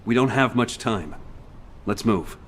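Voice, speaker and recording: A somewhat low-voiced military leader in a video game. He is measured, analytical and businesslike, with faint noise in the background. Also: lively intonation, fairly bright tone. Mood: decisive. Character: analytical, decisive male military field leader. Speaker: mission briefer.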